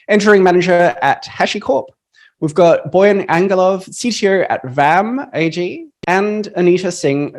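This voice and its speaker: A man hosting an online panel on a video call, upbeat and friendly, with frequent fillers. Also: high-pitched. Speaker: panel moderator.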